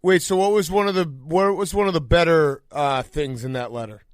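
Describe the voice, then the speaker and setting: A man's voice: on the high side, normal speed, no room sound, clean studio sound. An American man hosting a casual sports podcast, bantering in an enthusiastic, jokey, bro-like way.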